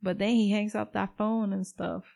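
Teasing young woman with gravelly voice. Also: medium pitch, little pitch movement, normal speed, a little mellow. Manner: switches between English and Spanish, teasing. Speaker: podcast co-host. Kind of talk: casual bilingual chat.

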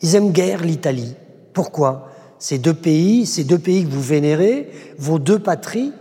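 A man interviewing an author, asking measured and probing questions. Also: on the high side, lively intonation, mellow, in a large hall.

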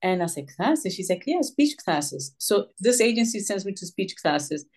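A middle-aged woman from Puerto Rico, a social worker and author telling her story in an interview, animated and acting out the voices she quotes. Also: mid-range voice, moderate pace.

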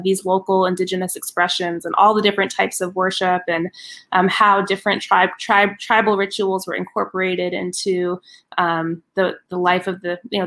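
A middle-aged woman in a relaxed interview, speaking at an even, ordinary pitch. She is earnest and thoughtful, and she often trails off, says "um" and "uh," and restarts her sentences. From United States. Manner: earnest, with many fillers and restarts. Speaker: guest, ministry director. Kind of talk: casual interview conversation.

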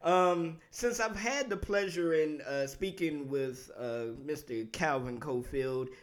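A man with a slightly raised pitch hosts an interview. He is friendly and affirming, backs up his guests with amens, and fills his questions with hesitant ums. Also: slow. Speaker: interview host. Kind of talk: casual podcast interview.

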